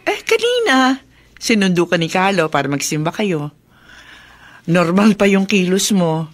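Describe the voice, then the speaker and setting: A woman acting a caring, knowing middle-aged widowed mother in a Filipino radio drama, gently teasing and motherly. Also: mid-range voice, very animated, unhurried. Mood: knowing, gentle.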